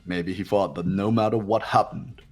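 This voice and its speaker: An aloof young man from a video game, acted by a man. He reasons formally and haughtily in a low voice. Soft music runs behind him. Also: fairly even intonation, normal speed. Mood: aloof, logical. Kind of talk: dramatic game voice acting.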